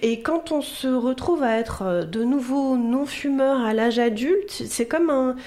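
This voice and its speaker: A woman's voice: high-pitched, animated, a little slow, dark tone. A woman hypnotherapist giving advice on a radio show, thoughtful and searching for words.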